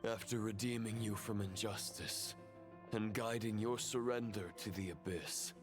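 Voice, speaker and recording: An actor playing a grave, coldly convinced fallen knight phantom in a video game, speaking in measured, formal phrases. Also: male voice, on the low side, steady tone, over music, natural voice. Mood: solemn, grave. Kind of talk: dramatic video game acting.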